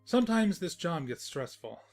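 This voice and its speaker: A man's voice: a little high, sing-song, normal speed, a little mellow, faint music behind. A man playing a wry, courtly, sentimental narrator in a cartoon-style fan fiction reading. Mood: contrite, courtly. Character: wry, teasing yet sentimental male storybook narrator. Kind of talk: storytelling narration with in-character dialogue.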